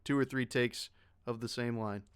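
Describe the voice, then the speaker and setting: A man's voice: medium pitch, steady tone, normal speed, clean tone, no echo. A man talking casually on an online panel as a voice actor who directs dubs, explaining things with joking asides. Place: online panel over voice call.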